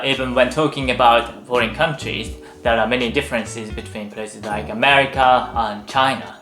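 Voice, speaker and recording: A man from Japan with a Japanese accent, a native Japanese speaker, explaining things to viewers as a video host in a hedging manner with frequent softeners. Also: medium pitch, echoey room.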